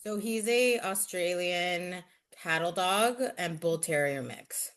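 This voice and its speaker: Chatty woman with a bright voice. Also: lowish voice, clear, resonant tone. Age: 30s. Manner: chatty, full of "like" and quick asides. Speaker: interview guest. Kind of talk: casual interview conversation.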